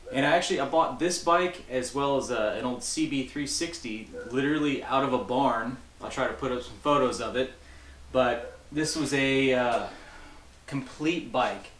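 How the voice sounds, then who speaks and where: A young man chatting casually to camera in his home garage, relaxed and rambling with a lot of restarts. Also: medium pitch. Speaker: motorcycle restoration vlogger. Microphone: camera-mounted mic at a distance. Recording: echoey garage.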